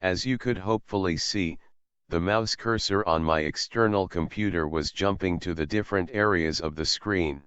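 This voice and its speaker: A man calmly and methodically walking through a screen-recorded software tutorial as an instructor. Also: low-pitched, normal speed, smooth, no room sound. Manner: calm, methodical explaining. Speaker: assistive technology instructor. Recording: slightly dull, dry voice-over.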